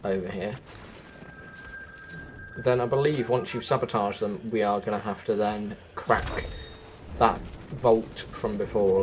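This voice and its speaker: A man's voice: fairly low pitch, a little hiss or hum. A man giving chatty game quest walkthrough commentary as a host, often correcting himself as he goes. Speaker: gaming video host.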